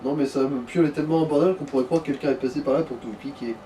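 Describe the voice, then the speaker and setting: A man's voice: medium pitch, fairly even intonation, very fast, dark tone, far from the mic, slight background noise. A man chatting casually as a let's play co-commentator, laid-back and slangy with dry jokes. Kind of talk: casual gameplay chat.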